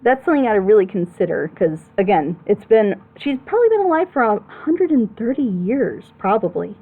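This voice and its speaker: A woman's voice: medium pitch, sing-song, fairly quick, breathy edge, narrow, tinny audio. A woman giving casual critical commentary on storytelling as a panelist, emphatic and opinionated, explaining her points at length. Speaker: panelist on a reaction show.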